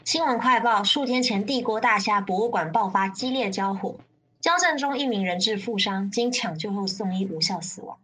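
A woman acting a composed faction leader and narrator in a radio drama, measured and detached in delivery. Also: mid-range voice, fairly bright tone, slight background noise, lightly acted. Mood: formal.

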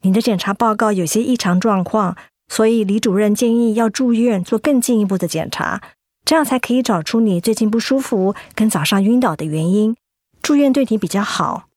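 A woman's voice: medium pitch, brisk, darkish tone, dry recording, close to an everyday voice. Composed hospital doctor, woman acting, mid-range, clinical yet reassuring, dry studio radio drama. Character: calm, professional hospital doctor.

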